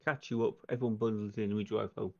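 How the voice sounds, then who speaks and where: A man's voice: fairly low pitch, level tone, fast, creaky, dark tone, muffled recording. A man playing a tabletop game, taking charge and proposing plans in casual chat.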